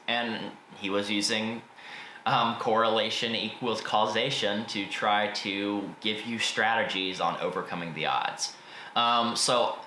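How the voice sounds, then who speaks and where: Bright-voiced man reviewing books, echoey room. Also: medium pitch. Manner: informal, hedging, blunt opinions. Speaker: book reviewer and video host. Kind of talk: casual spoken book review. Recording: echoey room with faint background noise.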